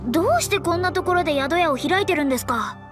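Haughty, very high-pitched young mage. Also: female voice, expressive, fast talker, over music. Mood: prim, composed. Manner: prim, self-important, formal. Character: proud, prim young female astrologer mage, haughty teacher. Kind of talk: anime game voice acting.

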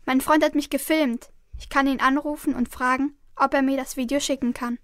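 A woman's voice: high-pitched, fairly even intonation, a little fast, a little hiss or hum, natural voice. A young girl playing an innocent theatre actress in a crime radio play. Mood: earnest. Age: child about 10 to 13.

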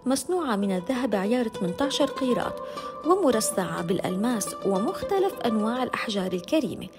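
A woman's voice: on the high side, sing-song, fast talker. A woman presenter with a Levantine Arabic accent, delivering scripted promotional narration. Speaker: presenter and interviewer. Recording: music behind the voice. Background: background music.

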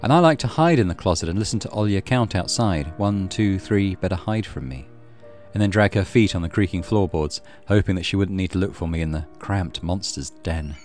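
A man reading a visual novel aloud as a let's play host, with expressive storytelling and casual commentary. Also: low voice, brisk, few pauses. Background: soft game music.

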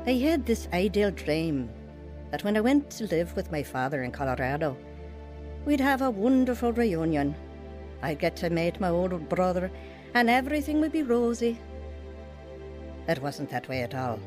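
A woman's voice: lowish voice, sing-song, normal speed, long pauses, a little mellow, soft background music. A woman playing a vulnerable, earnest young wife and mother in a radio drama. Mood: somber, reflective. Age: young adult. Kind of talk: radio drama acting and first-person narration.

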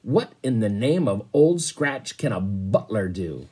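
A man voicing a gruff, blustering old sea captain in a storybook, roaring out salty orders. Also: fairly low pitch, big pitch swings, a little slow, dark tone, exaggerated voice. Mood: gruff, angry. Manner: roaring, bullying, salty exclamations.

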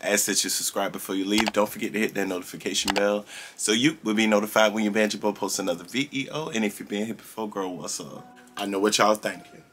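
A man's voice: lowish voice, fairly even intonation, fast talker, noisy background. Sassy young man with a strained voice. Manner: chatty, sassy, addresses viewers as girl and y'all. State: strained voice, losing it. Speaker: vlogger. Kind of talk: casual vlog chat to camera. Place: small room indoors.

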